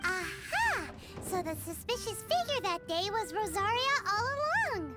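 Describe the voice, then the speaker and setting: Tiny floating game creature, very high voice, bouncy inflection, excitable, music behind. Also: female voice, a little slow, exaggerated voice. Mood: excited. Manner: excitable, blunt, squeaky. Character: tiny chirpy female floating companion creature. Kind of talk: video game dub.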